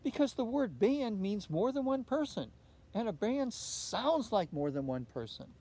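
A man's voice: high-pitched, sing-song, a little slow, mellow, breathy edge, voice pushed up, heavily acted. A man voicing a patient but firm mother of young children in a picture-book read-aloud. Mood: patient, questioning. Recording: outdoor recording with background noise.

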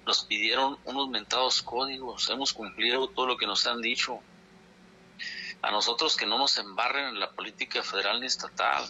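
A man's voice: medium pitch, moderate pace, sharp tone, slight background noise. A man from Chihuahua, a dairy farmers' leader, speaking in a phone interview in an indignant, insistent way, repeating his points for emphasis. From Chihuahua, Mexico. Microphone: telephone. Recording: phone line.

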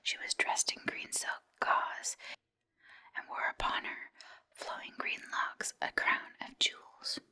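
A woman narrating a storybook in a breathy, unvoiced whisper, like ASMR. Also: slow, bright, forceful tone. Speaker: audiobook reader.

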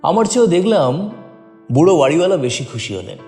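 A man narrates a story dramatically in a fairly high, mellow voice. His pitch moves in a lively way, with long reverb around it. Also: a little fast. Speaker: story reader. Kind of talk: dramatic scripted first-person narration. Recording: long reverb, faint music behind.

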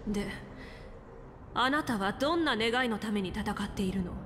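A woman's voice: medium pitch, expressive, normal speed, slight background noise, natural voice. An actress playing a resolute, solemn female warrior commander in a video game, speaking in a composed, earnest, declamatory way. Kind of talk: dramatic Japanese video game voice acting. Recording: loud music behind the voice.

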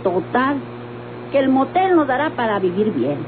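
A woman's voice: sits high, big pitch swings, moderate pace. An actress playing a humble, hard-working Mexican motel keeper and mother in an old radio drama, plain-spoken, hesitant and resigned. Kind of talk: old radio-novela acting. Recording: noisy, humming, tinny old radio recording.

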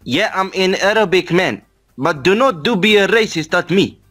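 A man's voice: high voice, lively intonation, normal speed, fairly bright tone, soft background music. A young man on a video call, defensive and swearing as he argues. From Algeria. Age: young adult. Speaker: video chat stranger.

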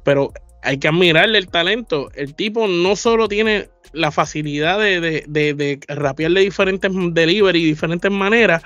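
Higher-voiced Puerto Rican man, emphatic. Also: moderate pace, hardly any pauses, a bit bright, no room sound. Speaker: podcast co-host. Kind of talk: casual opinionated reaction chat. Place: indoor room, remote video call.

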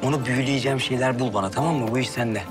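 A man's voice: medium pitch, rapid-fire, close to an everyday voice. An actor in his thirties playing a determined, lovestruck man in a TV drama. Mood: eager. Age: 30s. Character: determined, lovestruck younger brother, used to giving orders. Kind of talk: TV drama acting, conversational. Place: restaurant interior. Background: music.

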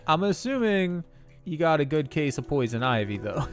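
Man streaming a game, animated pitch, slightly stuffy nose, casual chatter, faint game music underneath. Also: normal speed. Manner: chatty, laughs often, reads game dialogue aloud. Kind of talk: casual gameplay commentary and chat.

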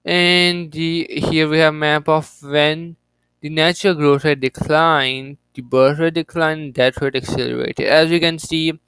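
A young man hosting a geography channel, reading an encyclopedia page aloud with halting restarts and offhand jokes. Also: on the high side, steady tone, a bit bright, smooth, dry recording, low-quality recording.

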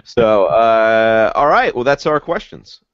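A man's voice: fairly low pitch, sing-song, takes it slowly, clear, resonant tone, no echo. A middle-aged man hosting a casual podcast from home, tired and sick and losing his voice, talking over a headset on a video call. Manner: tired, wrapping up. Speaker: podcast host. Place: home room, in armchair. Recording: dry, slightly dull video-call audio.

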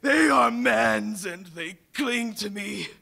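A dying spirit, slow and gravelly. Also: male voice, high voice, lively intonation, exaggerated voice. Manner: solemn, then anguished shouting. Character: gaunt dying male Christmas Present spirit. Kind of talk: live stage play. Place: large hall with audience.

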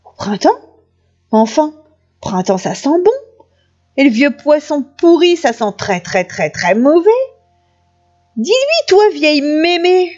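Woman as a bratty, high-voiced storybook little girl. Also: sing-song, measured pace, pauses often, a little mellow, lo-fi sound. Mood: baffled, indignant. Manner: grumbling, insolent. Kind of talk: children's storybook character voice.

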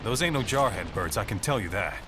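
A suspicious soldier on guard in a video game, acted by a man. He gossips in slangy, curious, animated speech. Clear rainy noise surrounds the voice. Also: on the low side, fairly quick, close to an everyday voice. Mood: suspicious curiosity. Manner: colloquial, inquisitive. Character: suspicious, gossiping male American military guard.

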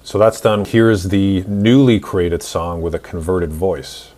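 A man in his forties narrating a screen-recorded software tutorial in an instructional, step-by-step way. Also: low-pitched, takes it slowly, faint music behind. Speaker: tutorial host.

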